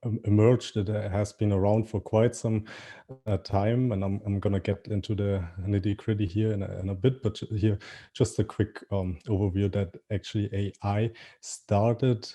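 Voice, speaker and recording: A man with a German accent presents an online marketing webinar. His voice is low and very dark and mellow. He talks in a halting way, stopping and restarting and filling pauses with "um" and "uh". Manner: halting, many fillers and restarts, repeats "with that being said".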